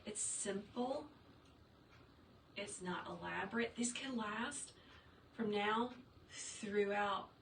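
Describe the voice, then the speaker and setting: A woman's voice: medium pitch, fairly bright tone. A woman chatting upbeat and casual as she teaches wreath making in her home workshop, talking straight to viewers and answering their comments. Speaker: wreath maker teaching a craft. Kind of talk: casual livestream tutorial reading viewer comments. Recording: roomy, distant sound with faint room noise.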